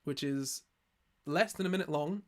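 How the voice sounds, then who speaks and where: A young man from Scotland with a Scottish accent softened by years in England, casually chatting on a live stream and reading his chat, rambling and restarting his sentences often. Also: a little high, moderate pace. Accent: Scottish, softened by living in England. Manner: rambling, self-correcting, lots of restarts.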